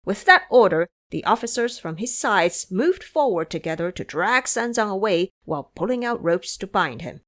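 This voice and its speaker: Woman narrating an audio drama story, dramatic read, pitch moves around a lot. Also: big pitch swings, moderate pace, few pauses, a bit bright.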